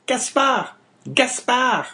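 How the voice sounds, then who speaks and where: A man voicing a shrill, scolding innkeeper's wife in an audiobook, in a high put-on falsetto. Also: lively intonation, very slow, heavily acted. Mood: warning. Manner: sharp, warning, nagging. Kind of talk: audiobook character voice.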